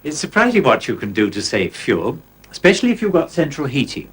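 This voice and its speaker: A man's voice: medium pitch, wildly swooping pitch, a little fast, slightly rough. A man presenting scripted advice in a public information film.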